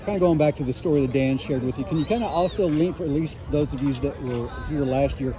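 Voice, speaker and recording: A reporter putting brisk, direct questions to a player after a game. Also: male voice, on the high side, a crowd in the background. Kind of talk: press question.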